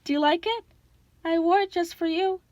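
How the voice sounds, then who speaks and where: Woman doing a nervous, simpering falsetto fake bride. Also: moderate pace, a little mellow, no echo, lo-fi sound. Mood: coy flirting. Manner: simpering, placating, hesitant. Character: nervous male creature posing as a coy bride in falsetto.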